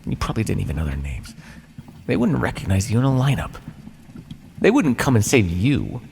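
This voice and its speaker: An ancient vampire captor played by a man taunts his prisoner in an ASMR roleplay, smug and patronising. His pitch swings very widely and drops into a gravelly creak. He leaves theatrical pauses, and the voice rings with long reverb. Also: wildly swooping pitch, a little mellow, full character voice. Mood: condescending, menacing. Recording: long reverb, noisy background.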